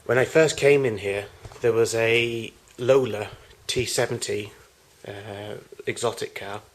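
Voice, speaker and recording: A man's voice: fairly low pitch, steady tone, a little slow. A man giving an unscripted tour of his collection in a home video, recorded in a garage on amateur camcorder audio.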